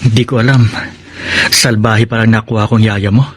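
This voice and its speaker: A man's voice: fairly low pitch, steady tone, normal speed, breathy edge. A man playing a courteous, affectionate widowed father in a radio drama, speaking in an earnest manner.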